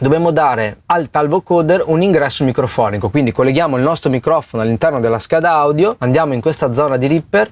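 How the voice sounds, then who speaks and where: A man hosting an informal step-by-step music software tutorial, explaining things and often checking in with short tags. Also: medium pitch. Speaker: host of a music production tutorial channel.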